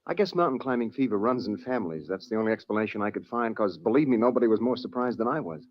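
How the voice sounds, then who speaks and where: A man's voice: lowish voice, expressive, quick pace, no room sound. An actor playing an earnest young man who tells his own story reflectively in 1940s radio drama style. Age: young adult. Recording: dull old radio recording.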